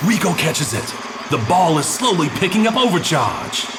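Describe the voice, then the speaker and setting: A man playing an excitable, dramatic sports commentator giving play-by-play in a cartoon. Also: a little high, moderate pace, very noisy. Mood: excited shouting.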